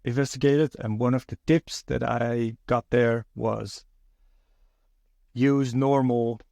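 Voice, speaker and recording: A man's voice: medium pitch, steady tone, takes it slowly, gravelly, mellow, no room sound. A man from the Netherlands with a Dutch accent, hosting his own podcast in a thoughtful, hesitant way with many fillers and restarts. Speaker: podcast host. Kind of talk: solo podcast monologue.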